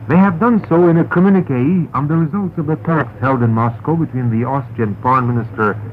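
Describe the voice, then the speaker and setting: A man reads a formal scripted news bulletin as a radio newsreader, in a measured, carefully enunciated way. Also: mid-range voice. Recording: noisy narrow-band shortwave radio recording.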